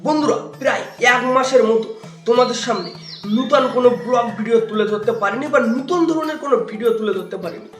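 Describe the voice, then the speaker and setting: A man's voice: extremely high pitch, quick pace. A young man, addressing viewers as a vlog host. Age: young adult. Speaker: vlogger and channel host. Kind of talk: scripted-sounding vlog intro and outro to viewers. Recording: roomy echo with loud music. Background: music.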